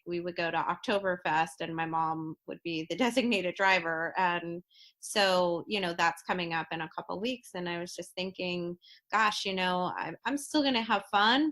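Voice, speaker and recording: Halting, heartfelt woman in her thirties. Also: fairly low pitch, fairly bright tone. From Florida. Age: 30s. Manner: halting, heartfelt, many restarts and fillers. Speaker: podcast guest. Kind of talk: casual podcast conversation.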